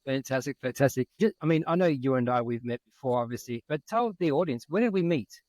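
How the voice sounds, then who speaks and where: A man's voice: mid-range voice, lively intonation, fast, a little mellow, dry recording. A man hosting a podcast, interviewing casually with an informal, teasing way of talking. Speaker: podcast host.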